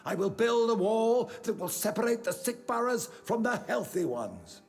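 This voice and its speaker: Pompous dying old British tycoon, high-voiced. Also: male voice, big pitch swings, moderate pace, creaky, darkish tone, heavily acted. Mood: imperious, weary. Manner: pompous, formal, theatrical. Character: dying old rich industrialist man, imperious, ruthless, impatient. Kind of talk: video game dialogue acting.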